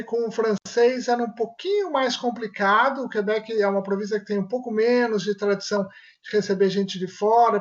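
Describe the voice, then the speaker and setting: A Brazilian man, a family physician, answering interview questions in a measured way and often restarting his phrases as he looks for words. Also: high voice. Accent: Brazilian Portuguese. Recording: muffled lo-fi audio.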